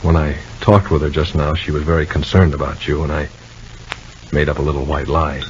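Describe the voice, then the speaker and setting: A man's voice: rumbling low, level tone, fairly quick. An actor playing an easygoing, protective married man in a 1950s radio soap opera, talking in a folksy, conversational way. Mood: gently concerned. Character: Bill, easygoing married man and protective older-style brother. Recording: dull, noisy old radio recording.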